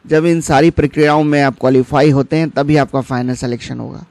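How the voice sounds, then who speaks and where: A man's voice: a little high, fairly even intonation, brisk, creaky, darkish tone. A man gives an instructional explainer. He speaks directly to his viewers and drops in English terms. The sound is dry, with no room echo. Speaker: exam-preparation channel host. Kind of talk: informational explainer for exam candidates.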